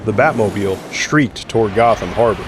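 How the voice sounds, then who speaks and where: A man's voice: fairly low pitch, brisk, background noise. A man reading scripted dramatic story narration as the narrator of an audio drama.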